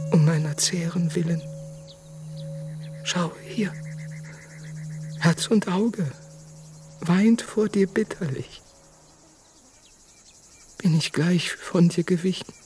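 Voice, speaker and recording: An actress playing a penitent soul begging for mercy, half-whispering devotional verse in a radio drama. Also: female voice, lowish voice, darkish tone.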